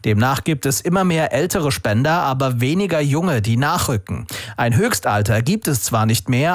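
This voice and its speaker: Higher-pitched male newsreader, dry studio sound. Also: no echo. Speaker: radio newsreader. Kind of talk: scripted news reading.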